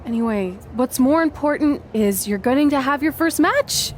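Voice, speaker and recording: An upbeat young female rookie wrestler in a video game. Her voice sits a little high and sounds friendly and sincere, with faint noise in the background. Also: lively intonation, normal speed. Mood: earnest. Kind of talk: video game cutscene acting.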